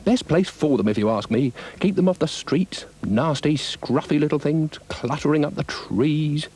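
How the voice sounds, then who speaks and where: A man's voice: a little high, moderate pace, a little mellow, slightly rough, poor audio. Man voicing a dry, grumbling, sardonic storybook dog. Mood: dry, dismissive. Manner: dry, unimpressed, dismissive. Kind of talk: children's storybook character voice.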